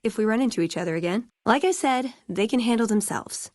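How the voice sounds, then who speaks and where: A woman's voice: fairly high pitch, animated, a little mellow, dry recording, studio quality, lightly acted. An actress playing a witty, eager young woman scribe and scavenger in a video game, with a wry, chatty manner. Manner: wry, chatty, quick to joke. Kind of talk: video game character dialogue.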